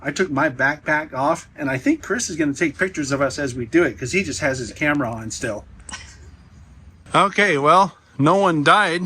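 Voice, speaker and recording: A man's voice: on the high side, expressive, normal speed, bright, forceful tone, a little hiss or hum. A man hosting a vlog about railway preservation, talking casually and enthusiastically to camera outdoors at a rail yard. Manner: chatty, enthusiastic tour-guide talk. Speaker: host documenting railway preservation.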